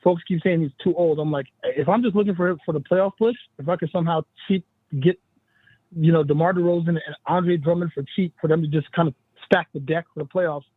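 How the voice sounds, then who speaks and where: A man's voice: fairly high pitch, fairly even intonation, brisk, slightly rough, dry recording. Chatty man on a tinny phone line. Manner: chatty, laughs often, "you know what I'm saying". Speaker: guest analyst. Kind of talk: casual sports talk.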